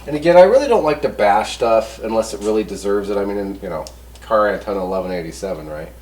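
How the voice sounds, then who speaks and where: A man's voice: fairly low pitch, lively intonation, quick pace, a little mellow. Folksy man rambling in an echoey space. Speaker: host of a product review channel. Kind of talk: casual hands-on product review monologue. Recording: roomy echo, distant mic, background noise.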